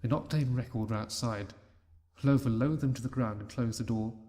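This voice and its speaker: Mellow male story reader. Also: mid-range voice, fairly quick. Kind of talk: fan fiction story narration.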